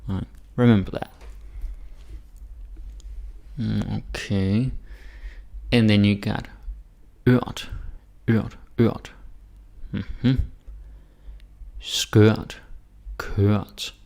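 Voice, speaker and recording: A man with a Danish accent walks through pronunciation drills, repeating each word for learners. His voice sits very low, with a gravelly edge. He talks slowly and casually. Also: fairly even intonation, dark tone. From Denmark. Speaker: language teacher. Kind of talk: pronunciation lesson.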